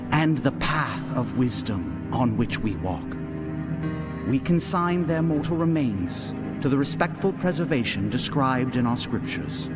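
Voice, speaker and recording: Solemn cult leader, measured lofty oratory, funeral sermon, dramatic audio, sweeping intonation, clear background music. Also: male voice, medium pitch. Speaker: religious leader.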